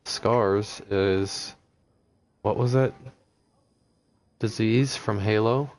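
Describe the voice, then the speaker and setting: Quiet, low-voiced man streaming a game. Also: drawn-out words. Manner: quiet, musing, stumbles over unfamiliar names. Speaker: livestreamer playing a video game. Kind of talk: casual live-stream commentary, reading in-game text aloud. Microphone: headset with noise gate.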